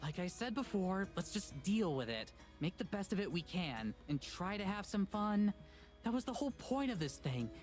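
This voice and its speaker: A woman's voice: mid-range voice, big pitch swings, moderate pace, a little mellow, soft background music, lightly acted. Casual, persistent young woman, played by an actress. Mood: frustrated, coaxing. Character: upbeat, persistent young woman investigator and companion. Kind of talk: visual novel voice acting.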